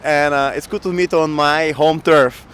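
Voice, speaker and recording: A man in his thirties from Bulgaria telling his work story in a casual outdoor interview, animated and laughing often, into a handheld mic. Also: on the high side, moderate pace. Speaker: guest entrepreneur. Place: outdoor city square in winter. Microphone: handheld mic shared, camera close.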